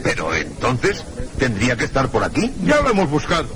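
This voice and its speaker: An actor playing a puzzled, insistent train passenger in a radio drama, excitable and full of questions. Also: male voice, medium pitch, brisk, crowd noise. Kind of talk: Spanish radio drama acting.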